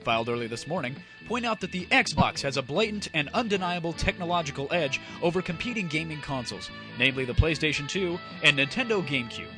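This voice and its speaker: Guy doing a self-important deadpan newsreader, parody breaking news, highish voice, loud music underneath. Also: fast, close to an everyday voice. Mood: solemn, self-important. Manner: formal, deadpan, stiltedly emphatic newsreader delivery. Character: pompous male news anchor reading mock breaking bulletins. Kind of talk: parody news broadcast narration. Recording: loud music bed behind voice.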